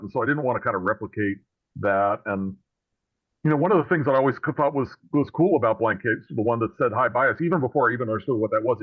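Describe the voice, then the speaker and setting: A man's voice: lowish voice, dry recording. A man being interviewed as a guest author, chatting casually and explaining things in a conversational way. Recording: phone-like thin call audio.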